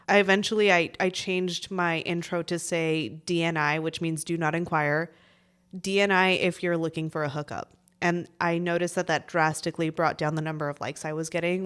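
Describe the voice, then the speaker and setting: A woman's voice: lowish voice, a bit bright, clean tone. A woman in her forties co-hosting a podcast in casual, frank, slangy conversation. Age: 40s. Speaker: podcast co-host.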